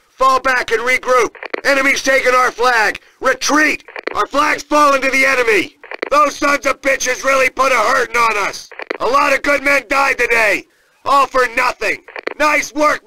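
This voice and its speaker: An actor playing a fierce American Marine sergeant, barking commanding announcements in a military video game. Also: male voice, extremely high pitch, bright, forceful tone, slight background noise, exaggerated voice, low-quality recording. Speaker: multiplayer announcer.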